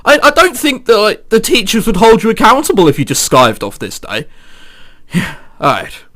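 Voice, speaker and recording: A man with a high, bright voice does let's play commentary on a visual novel. He swings widely in pitch, incredulous and sweary between passages read aloud, with music behind him. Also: big pitch swings, moderate pace. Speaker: gamer reading and reacting to a visual novel. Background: game background music.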